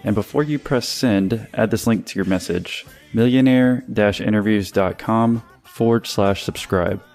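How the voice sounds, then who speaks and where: A man's voice: fairly low pitch, unhurried, darkish tone, soft background music. A man hosting a podcast interview in a casual, conversational style.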